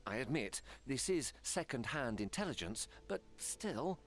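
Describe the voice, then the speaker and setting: A man's voice: medium pitch, sing-song, unhurried, fairly bright tone. A man playing a polite, confiding political intriguer in a fantasy video game. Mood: courteous, confiding. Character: smooth-talking, courteous male council intriguer.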